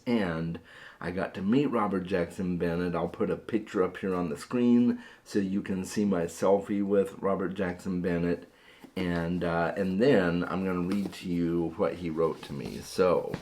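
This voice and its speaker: A hoarse man hosting a book vlog, chatting casually to camera and often repeating words as he starts sentences. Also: low voice, darkish tone. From Phoenix area, USA. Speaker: book vlogger.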